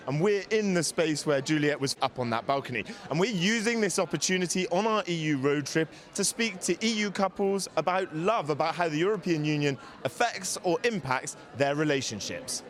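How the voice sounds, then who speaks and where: Male field reporter, light higher voice, steady outdoor news delivery. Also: normal speed, few pauses. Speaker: news correspondent. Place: outdoors in a city.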